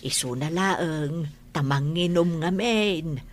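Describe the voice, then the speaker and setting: A woman's voice: low voice, slow pace, a little hiss or hum. A woman playing a caring, nosy middle-aged aunt in a radio drama, with an Ilocano accent and an exclamatory way of talking. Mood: advising. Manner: animated, exclamatory.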